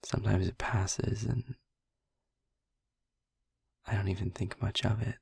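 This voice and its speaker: A man playing a brooding, tender vampire boyfriend in an intimate roleplay audio drama, speaking softly and haltingly in a breathy voice that often nears a whisper. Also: fairly low pitch, steady tone, no room sound, heavily acted. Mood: somber, reflective. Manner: halting, soft, hesitant, with long pauses. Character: brooding, tender male vampire boyfriend, haunted by his turning.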